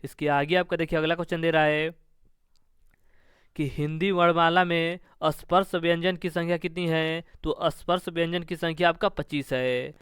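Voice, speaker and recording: A man's voice: on the high side, level tone, moderate pace, fairly bright tone, smooth, no echo, studio quality. A man teaching an exam-prep lesson, reading out quiz questions and their answers with repeated stock phrases. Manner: formulaic, repeats stock phrases between items.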